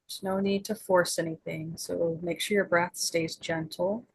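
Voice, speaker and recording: Woman, meditation guide, gentle and soothing, breathy husky tone, very slow pace, long pauses. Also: fairly low pitch, fairly even intonation, rough recording.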